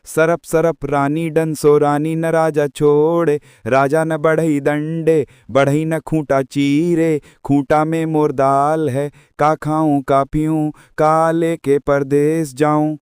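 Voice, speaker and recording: A man voicing a tiny, plucky mother bird in a storybook folk tale, pleading in sing-song rhymes with drawn-out endings. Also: on the high side, little pitch movement, darkish tone, clear, resonant tone, no echo, clean studio sound.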